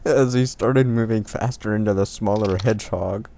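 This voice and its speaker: A man's voice: lowish voice, moderate pace, warm tone. A man reading fan fiction aloud in a casual comedic way, amused, swearing and breaking off to comment. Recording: noisy home recording with clicks and typing.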